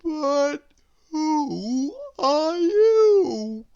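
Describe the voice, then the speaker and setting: A woman voicing a lazy, sleepy storybook man with a drawn-out, drowsy way of talking. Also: sits high, big pitch swings, a little mellow, highs cut off, pitched above the performer's own voice, exaggerated voice. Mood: drowsy, bewildered. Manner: drawn-out, yawning, drowsy speech.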